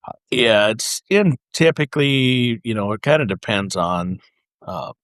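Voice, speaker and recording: A man speaking as a podcast guest, explaining his craft casually in halting, piecemeal phrases with frequent pauses. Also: medium pitch, lively intonation, fairly bright tone, no echo. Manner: halting, pausing, piecemeal delivery. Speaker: podcast guest, custom paint artist.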